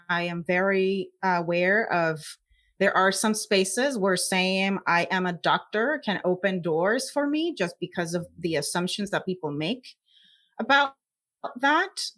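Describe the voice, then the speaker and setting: A woman's voice: lowish voice, unhurried, a bit bright. A woman from Puerto Rico with a Puerto Rican accent, giving reflective, emphatic answers as an interview guest. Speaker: podcast guest, scientist.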